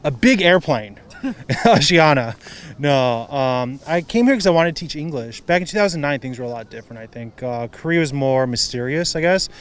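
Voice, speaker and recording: A man's voice: fairly high pitch, lively intonation, moderate pace, clear, resonant tone. A man who teaches English, answering street interview questions in a joking, laid-back way. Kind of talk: casual answers.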